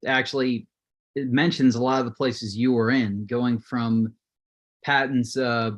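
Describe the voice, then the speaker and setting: A man in his late twenties, speaking hesitantly with many pauses, eager about the history he has read up on. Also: mid-range voice, steady tone. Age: 20s. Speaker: call-in guest. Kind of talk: casual chat over a video call. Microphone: video call.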